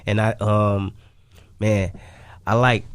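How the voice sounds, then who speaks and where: A man's voice: on the low side, little pitch movement, slow pace, clean tone, no echo, studio quality. A man talking casually as an interview guest, repeating himself and often saying "you know what I'm saying.". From Chicago, United States. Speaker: interview guest, music artist.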